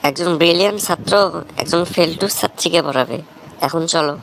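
A woman's voice: on the low side, fairly quick, vocal fry, background noise. A woman voicing a bossy young village big brother and tutor in a cartoon, lecturing sternly with a Bangladeshi accent. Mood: scolding, sarcastic. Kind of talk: amateur cartoon dialogue.

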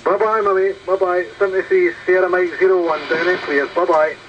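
A man's voice: high-pitched, level tone, fairly quick, dull audio. A Scottish man chatting casually over CB radio, repeating himself and often ending sentences with "eh". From Scotland. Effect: heard over CB radio. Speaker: amateur CB radio operator. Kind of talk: casual two-way radio chat. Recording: very noisy CB radio transmission. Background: loud radio static and hiss.

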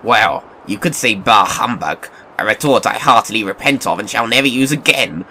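An actor playing a reformed elderly miser, now a kindly and apologetic gentleman, with formal old-fashioned courtesy in an audio drama. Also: on the low side, animated, moderate pace, slight background noise, exaggerated voice. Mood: warm, repentant.

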